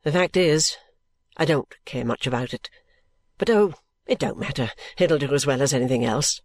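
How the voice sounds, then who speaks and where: A woman voicing a careless, easygoing and affectionate young gentleman in an audiobook, with a breezy, musing manner. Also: extremely low pitch, moderate pace, no echo, pitched below the performer's own voice. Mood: offhand, indifferent. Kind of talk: audiobook character dialogue.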